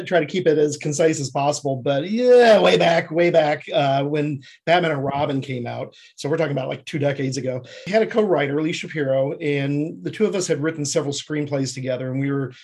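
A man's voice: a little high, fairly quick, few pauses, a little mellow, husky. A man speaking as an interview guest, telling stories conversationally and acting out bits of dialogue as he goes. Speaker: podcast guest, screenwriter.

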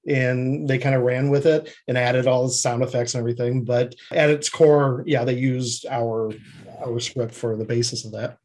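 A man's voice: mid-range voice, level tone, normal speed, darkish tone. A man speaking as an interview guest, telling stories conversationally and acting out bits of dialogue as he goes. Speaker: podcast guest, screenwriter.

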